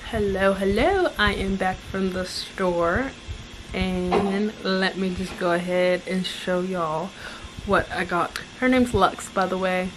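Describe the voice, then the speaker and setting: A young woman casually showing off a shopping haul from her couch, chatting in a relaxed way and listing items with asides. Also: mid-range voice, slow, noisy background. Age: young adult. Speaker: vlogger. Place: living room, on a couch indoors.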